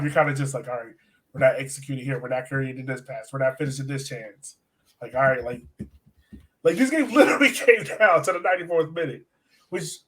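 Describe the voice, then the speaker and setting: A man with a fairly high-set voice co-hosts a soccer fan podcast. He talks through matches in a casual, conversational way, animatedly acting out reactions as he goes. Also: a bit bright. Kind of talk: casual sports chat, match analysis.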